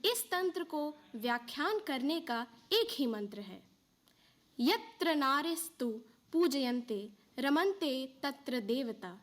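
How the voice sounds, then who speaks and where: A woman's voice: sits high, very animated, takes it slowly. A woman narrating a stage dramatisation in scripted, poetic declamation.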